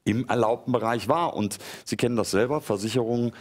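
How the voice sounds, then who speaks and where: A man's voice: mid-range voice, brisk. A man in his forties demonstrating a gadget on a TV shopping show, explaining its features with enthusiasm. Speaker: product presenter. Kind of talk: teleshopping product demo. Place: TV studio set.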